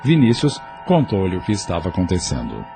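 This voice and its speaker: Deep expressive male narrator. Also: gravelly, over music. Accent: Brazilian Portuguese. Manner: measured, expressive storytelling. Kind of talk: scripted audio drama narration.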